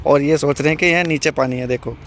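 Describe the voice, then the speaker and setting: A man's voice: fairly high pitch, normal speed, fairly bright tone. A man in his thirties vlogging casually to his viewers, joking and chatty, filmed outdoors by a river on a phone at arm's length. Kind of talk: casual travel vlog to camera. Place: outdoors by a river and on a bridge in hills. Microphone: phone held at arm's length. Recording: outdoor noise from passing traffic.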